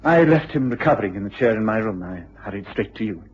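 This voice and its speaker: An excitable English don in a vintage radio drama. His voice is very rough and husky, his delivery formal, exact and agitated. The old recording is muffled and lo-fi. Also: lowish voice, fast talker. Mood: worried, pleading. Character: agitated, excitable male university lecturer, gaunt and anxious. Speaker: actor in a radio play. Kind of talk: period BBC radio drama acting.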